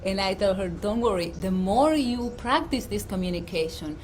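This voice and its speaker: A woman's voice: medium pitch, expressive, moderate pace, faint music behind. Dominican-accented woman coaching outdoors. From Dominican Republic. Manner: warm, earnest, emphatic presenter. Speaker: life coach. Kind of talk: motivational livestream talk. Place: outdoors at her home.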